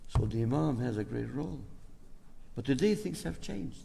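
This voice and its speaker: Gravelly older imam with an Albanian accent. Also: male voice, mid-range voice, expressive, normal speed, warm tone. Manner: rambling storyteller who drifts into asides and exhortation. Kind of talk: informal talk to a community gathering. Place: mosque community hall. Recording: echoey hall reverb.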